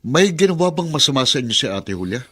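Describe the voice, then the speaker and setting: Bright, earnest grown son. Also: male voice, mid-range voice, sing-song, quick pace, husky. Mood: earnest, pleading. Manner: earnest, pleading. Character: concerned, respectful adult son defending his sister. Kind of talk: radio drama acting.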